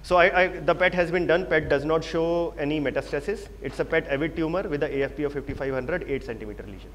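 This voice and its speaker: A man's voice: a little high, fast talker. A man with an Indian English accent presenting and moderating a medical panel, explaining with emphatic repetition. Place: large conference hall. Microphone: handheld microphone. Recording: reverberant hall, faint background noise.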